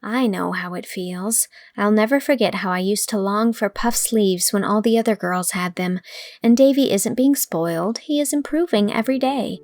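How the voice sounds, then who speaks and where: A woman playing an earnest, kindly young woman, gentle and sincere, in an audiobook drama. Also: mid-range voice, normal speed, dry recording, studio quality, lightly acted. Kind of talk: audiobook drama character reading.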